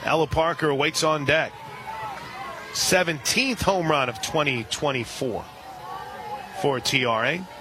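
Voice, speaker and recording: A man calling a softball game live on radio, steady between pitches and surging loud and high on big plays. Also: measured pace, pauses often. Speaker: radio play-by-play announcer.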